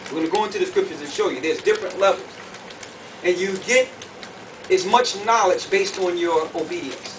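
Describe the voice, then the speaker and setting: A man with a high-pitched voice teaches like a preacher at a conference, driving points home with repetition and questions. The microphone sits well away from him, and clear background noise runs under his words. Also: a little fast. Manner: emphatic, repeats phrases, puts questions to listeners. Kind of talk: sermon, conference teaching to a group. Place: conference room with an audience. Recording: distant mic, clearly noisy room recording.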